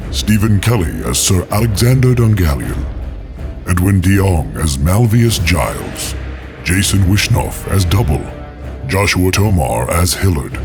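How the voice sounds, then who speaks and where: A man delivers scripted announcements and credits in a deep voice. Music plays clearly behind his narration.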